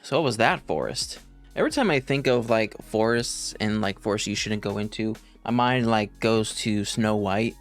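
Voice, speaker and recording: A man's voice: lowish voice, normal speed, faint music behind. A young adult man giving casual, unscripted reaction commentary as host of a video.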